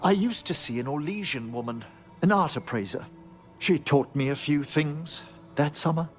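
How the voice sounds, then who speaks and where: Actor as a high-voiced, courteous gentleman necromancer. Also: faint music behind, natural voice. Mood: genteel, musing. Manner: formal, genteel, measured phrasing.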